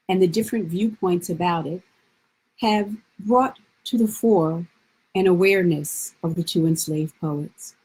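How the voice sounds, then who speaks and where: A woman presenting literary history in measured, scripted narration. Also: medium pitch, a little mellow, breathy edge, poor audio.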